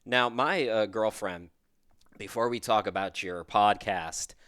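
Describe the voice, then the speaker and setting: A man's voice: fairly low pitch, unhurried, a bit bright, smooth, dry recording, clean studio sound. A middle-aged man hosting a podcast, talking in a chatty, rambling, upbeat way. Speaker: podcast host. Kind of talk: casual interview chat.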